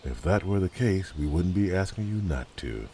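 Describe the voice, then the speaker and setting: A man playing a dry, weary royal knight and protector in a fantasy audio drama, with a gravelly voice. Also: deep voice, animated, moderate pace, mellow, a little hiss or hum. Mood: dry exasperation.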